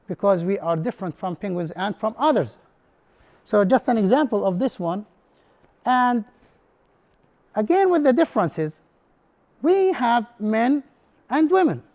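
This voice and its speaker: A man's voice: sits high, a little mellow. A man whose first language is Arabic, giving a presentation as an instructor in non-native English and putting questions to the audience in a room. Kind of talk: prepared talk to an audience.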